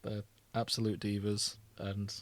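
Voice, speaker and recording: A man's voice: lowish voice, monotone, unhurried, fairly bright tone, no echo. A man co-hosting a podcast in casual, conversational chat. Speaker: podcast co-host.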